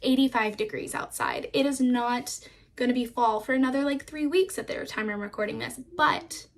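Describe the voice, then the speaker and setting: A young woman vlogging casually at home, her voice a little raspy and froggy, rambling and relaxed with lots of "like.". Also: a little high, moderate pace, slight background noise. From Florida. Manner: relaxed, rambling, uses "like" often. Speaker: vlogger, stay-at-home mom. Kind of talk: casual chatty vlog narration.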